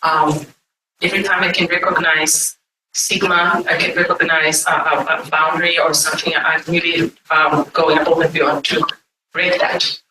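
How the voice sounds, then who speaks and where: A woman with a Brazilian accent giving an informal, unscripted talk to an audience in a halting, digressive way. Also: deep voice, level tone, pushed and bright. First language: Portuguese. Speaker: guest speaker. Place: echoey indoor conference room. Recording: echoey distant room with faint noise.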